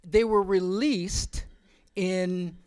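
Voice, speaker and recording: A man's voice: high voice, fairly even intonation, very slow, a little mellow. A man presenting at a symposium in an informal, opinionated way, often wandering into asides.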